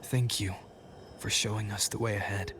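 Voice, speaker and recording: An actor playing a calm, earnest adventurer ally in a video game, measured and sincere. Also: male voice, on the low side, slight background noise, close to an everyday voice.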